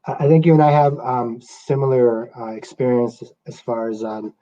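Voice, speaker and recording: Male host from Guatemala, native Spanish speaker, warm low tone, hesitant, lo-fi recording. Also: normal speed. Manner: relaxed, hesitant with stammered restarts. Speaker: radio host. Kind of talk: casual interview conversation.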